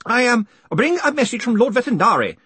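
Man voicing a flustered little clerk, high and husky. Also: huge pitch swings, unhurried, no echo, exaggerated voice. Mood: flustered, shouting. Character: flustered clerk, a slight man shouting an official message.

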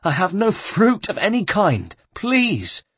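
A man voicing a terrified young monk who pleads and sobs in a high, strained voice. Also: animated, moderate pace, breathy edge, dry recording. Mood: pleading, sobbing. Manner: high, wailing and begging.

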